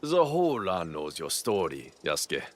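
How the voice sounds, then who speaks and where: A big, loyal farm-born retainer in a video game. His low voice swings expressively, with deliberate, weighty phrases and a humble, friendly temper. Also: wildly swooping pitch, exaggerated voice. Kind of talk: video game cutscene acting.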